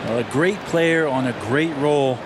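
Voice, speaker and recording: A man's voice: medium pitch, normal speed, background noise, lightly acted. A man giving opinionated, colloquial color commentary in a basketball video game, over music. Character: male basketball analyst, opinionated, plain-spoken.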